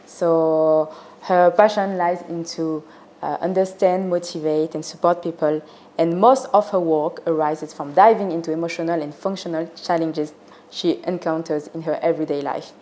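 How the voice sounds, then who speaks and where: A young woman with a French accent hosting a casual interview, speaking slowly and laughing often. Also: low voice, steady tone, dark tone. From France. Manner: slow and giggly, laughs often. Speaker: host.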